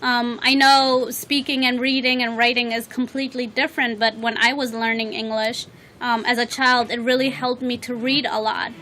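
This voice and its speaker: A woman's voice: a little high, flat delivery, moderate pace, pushed and bright, a little hiss or hum, poor audio. A woman hosting a call-in show, speaking encouragingly with frequent ums. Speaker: radio show host. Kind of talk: friendly call-in show talk.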